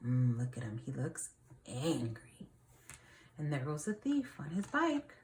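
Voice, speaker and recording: A woman's voice: sits low, very animated, darkish tone, slight background noise. A woman teacher reading a picture book aloud to children, stopping to ask questions and explain things in simple words. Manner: instructive, pausing to question and explain simply to young listeners.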